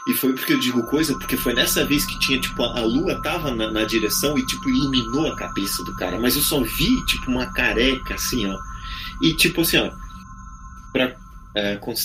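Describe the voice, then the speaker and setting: A man's voice: mid-range voice, a little fast, poor audio. Brazilian man telling eerie stories over music. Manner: colloquial, full of slang interjections. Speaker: podcast guest. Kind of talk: casual spoken storytelling of eerie personal experiences.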